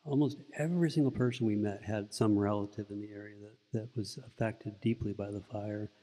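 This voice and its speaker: A soft-spoken middle-aged man, a Buddhist monk, giving a relaxed, rambling talk into a stand mic in an indoor meditation room. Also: fairly low pitch, brisk, in a large hall. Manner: relaxed storytelling with frequent restarts. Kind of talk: informal dharma talk. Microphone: stand mic close in front.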